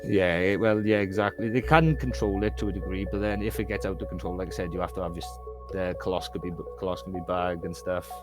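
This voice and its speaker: A man's voice: sits low, steady tone, fast, loud music behind the voice. A man from Wales with a Welsh accent, a guest talking candidly in casual conversation, rambling and often asking "do you know what I mean".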